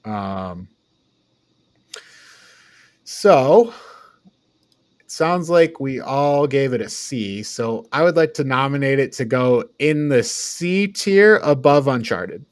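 A man's voice: mid-range voice, animated, slow pace, pauses often, no room sound, clean studio sound. A man hosting a podcast, chatting about films in an informal, playful way. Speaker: podcast host. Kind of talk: casual film review chat.

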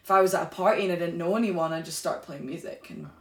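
Low-voiced woman telling her story, roomy. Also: quick pace. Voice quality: warm, flowing delivery with many "like" and "you know" fillers. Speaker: guest, sacred singer. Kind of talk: candid personal storytelling in a podcast conversation.

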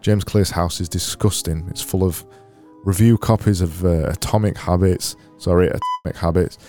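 A man with a Barnsley accent hosting his own podcast, talking alone in a sarcastic, deadpan, self-mocking way. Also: low voice, moderate pace, soft background music. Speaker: podcast host. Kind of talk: solo comedic podcast monologue.

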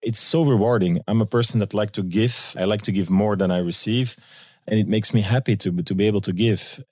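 A man's voice: fairly low pitch, fairly even intonation, a little fast, darkish tone, no room sound. A man from Belgium with a Belgian accent, telling anecdotes conversationally as an interview guest and acting out quoted dialogue. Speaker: guest, tour guide and business owner.

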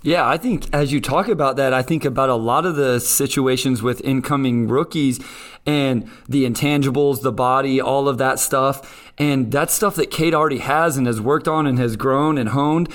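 A man's voice: medium pitch, moderate pace. A man hosting a sports podcast in a conversational style. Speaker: podcast host.

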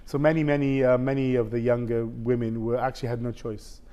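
Middle-aged male guest, halting storyteller, mid-range voice. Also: mid-range voice, little pitch movement, mellow. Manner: halting, with many restarts and "basically". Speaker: interview guest. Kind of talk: personal story in casual conversation.

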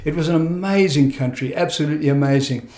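A middle-aged man from Zimbabwe, telling his life story in a conversational interview, reflective and halting, with many fillers and restarts. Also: on the high side, darkish tone, reverberant. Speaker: guest.

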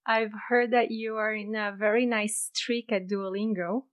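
A woman hosting a podcast interview in her home office, cheerful and quick to laugh. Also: medium pitch, steady tone. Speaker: podcast host.

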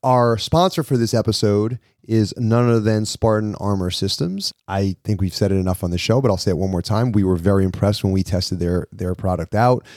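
Casual low-voiced male podcast host. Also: fairly quick, hardly any pauses, dry recording, clean studio sound. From New York. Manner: relaxed, rambling with fillers.

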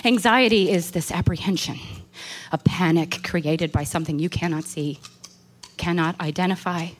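An actress playing an anxious, haunted woman in a horror radio play, confiding in a halting, intense way. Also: fairly low pitch, lightly acted. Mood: uneasy, brooding. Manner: halting, intense confessional delivery. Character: anxious, haunted woman recounting an insect terror. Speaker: actor.

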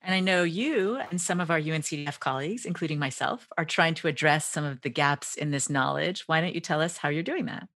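A woman with a very low voice hosts a podcast interview. She sounds composed and speaks straight to the listeners. The recording is dry and close, with no room sound. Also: fairly quick, few pauses, fairly bright tone, no echo. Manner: composed, addresses listeners directly. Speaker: podcast host. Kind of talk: interview hosting.

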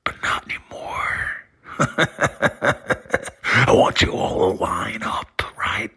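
A man cutting a creepy, slow, whispered wrestling promo with a raspy voice, taunting his rivals. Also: deep voice, fairly even intonation, pushed and bright. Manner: slow, creepy, taunting, laughs between threats.

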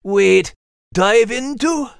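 A man playing a gruff but warm male terrier with a Scottish accent, blustery and puzzled, in a children's audio story. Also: extremely high pitch, very slow, no echo, clean studio sound, full character voice. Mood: curious, wry. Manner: animated, blustery, puzzled exclamations. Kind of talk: scripted character dialogue in a children's audio story show.

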